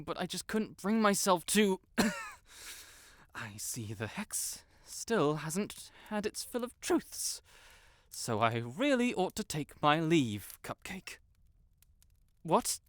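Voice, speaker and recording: A man's voice: high voice, a bit bright, no room sound, full character voice. A man playing a proud, lovestruck wizard in a romantic audio roleplay, haughty but stammering, blurting things out and backtracking. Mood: vulnerable confession, then defensive, indignant. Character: proud, flustered tsundere wizard secretly in love.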